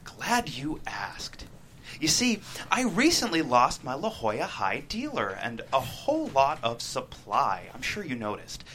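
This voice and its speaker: Guy doing a cruel cocky dealer at a table read, taunting, really animated, noisy room. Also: mid-range voice, measured pace. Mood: smug. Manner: taunting, slangy street talk. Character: menacing young male drug dealer, cocky and playfully cruel. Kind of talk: dramatic table read of a teen drama script.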